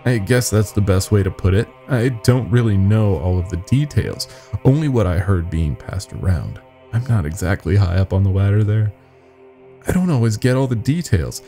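A man voicing a nervous young company worker confessing secrets in a halting, grave horror-story telling. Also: lowish voice, sing-song, mellow, faint music behind. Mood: uneasy.

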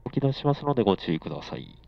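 Low-voiced male train conductor, muffled. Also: big pitch swings, racing, vocal fry. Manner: formal, polite announcing cadence. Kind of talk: onboard train announcements. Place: inside a moving commuter train. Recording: muffled onboard speaker with noise. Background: faint train and air-conditioning noise.

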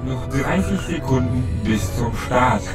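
A man voicing an impersonal electronic spaceship announcement system in an audio drama, deliberate in delivery. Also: medium pitch, lively intonation, close to an everyday voice. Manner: slow, deliberate countdown delivery. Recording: echoey, distant, under loud music.

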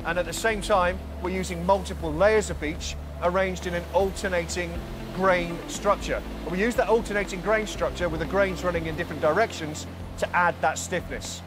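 A man in his forties leading a tour of a large factory floor, explaining each production step in a calm, clear way. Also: high-pitched, normal speed, noisy background. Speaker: factory guide. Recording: music bed under voice.

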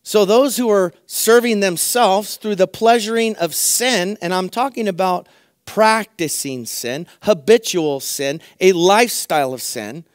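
Man, middle-aged pastor, hoarse voice, earnest sermon, dry close sound. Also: sits high, unhurried, no room sound. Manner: earnest, repeats phrases for emphasis. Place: church sanctuary at a pulpit, indoors.

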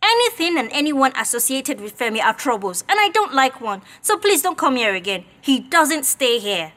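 Casting: guarded, bitter young woman, high bright voice, fed up with troublemakers. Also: big pitch swings, normal speed. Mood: curt, hostile. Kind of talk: radio drama acting.

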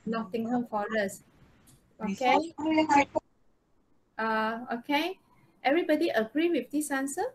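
Female teacher for an online class, higher register, very unhurried, asks short checking questions. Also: poor audio. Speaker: English teacher. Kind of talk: interactive online lesson. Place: online video call.

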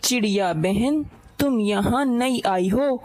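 A woman voicing a big, sly, hungry male snake in a children's cartoon, scheming and falsely friendly with drawn-out endings. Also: on the high side, a little mellow, poor audio.

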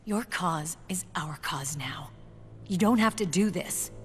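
An actress playing a hard, wounded young female soldier in a video game, speaking in a cold, deliberate, hushed way. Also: fairly low pitch, soft background music. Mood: earnest. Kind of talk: cinematic game dialogue.

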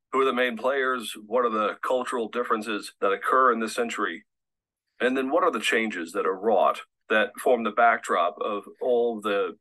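Low, bright-voiced video lecturer. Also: male voice, rough recording. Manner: fluent, polished delivery.

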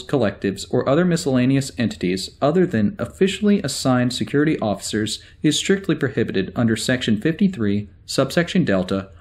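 A man playing a wry, easily exasperated security officer in a sci-fi audio drama, talking in a halting, conversational way. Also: mid-range voice, darkish tone, lightly acted.

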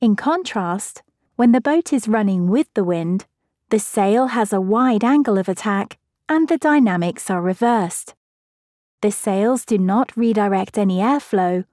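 A woman with a mellow, soft-edged voice reads a scripted science explainer in short, even clauses. The sound is dry and close, with no room around it. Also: mid-range voice, no echo. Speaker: narrator.

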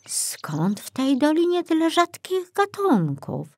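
Storybook forester, a mild man, tired and put-upon, slow and unsure, performed by a female narrator. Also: high voice, wildly swooping pitch, a little mellow, voice pushed up, heavily acted. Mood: worried. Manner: sighing, muttering, uncertain.

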